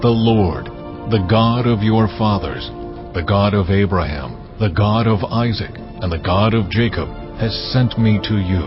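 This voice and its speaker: An actor playing God as a solemn, commanding divine voice, proclaiming slowly and with weight. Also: male voice, fairly low pitch, lightly acted. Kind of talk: dramatized scripture reading. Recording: muffled, over loud cinematic music.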